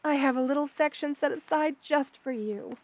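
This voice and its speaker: A woman voicing a kind, motherly spider queen in an ASMR roleplay, soft-spoken, doting and reassuring as she speaks to the listener. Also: sits high, normal speed, dry recording. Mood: fond, excited. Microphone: close studio mic, dry.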